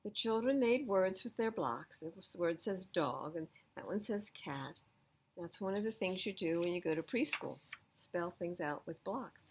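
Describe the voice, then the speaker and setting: Animated woman reading to children, slightly husky. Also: on the low side, measured pace, rough recording. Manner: explains pictures and adds gentle asides to young listeners. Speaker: children's storytime reader at a library. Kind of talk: animated picture-book read-aloud with conversational asides.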